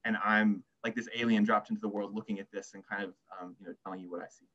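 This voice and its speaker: Low-voiced man on a dull video call. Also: steady tone, fast talker, pushed and bright. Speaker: essay writer on a literary festival panel. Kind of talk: casual reflective panel talk.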